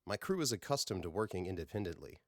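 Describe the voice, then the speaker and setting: A confident leader of a space crew, voiced by a male narrator in a science fiction audiobook. He sounds earnest and formal, in a low voice with a dry recording. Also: animated, fast talker, no echo. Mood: assured.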